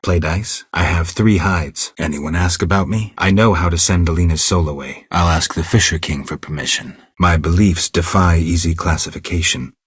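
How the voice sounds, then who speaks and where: Game casting: world-weary witcher, rock-bottom bass register, gravelly, terse and deadpan, recorded very dry. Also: moderate pace, hardly any pauses, dry recording. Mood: stoic, reserved. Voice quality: gravelly, husky. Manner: terse, restrained, deadpan delivery. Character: stoic, world-weary male monster-hunting witcher. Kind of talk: video game character dialogue.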